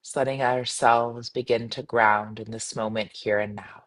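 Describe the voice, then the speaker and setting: Woman guiding a slow, soothing meditation. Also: extremely low pitch, steady tone. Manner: soothing, unhurried, gently instructing with pauses. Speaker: meditation guide, yoga teacher. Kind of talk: guided meditation.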